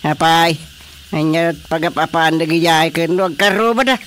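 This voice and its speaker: A woman's voice: low-pitched, fairly bright tone, slight background noise. A woman playing a curious, nosy neighbour who exclaims and questions, in an Ilocano radio drama comedy. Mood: curious, amused. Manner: inquisitive, reacting with drawn-out exclamations.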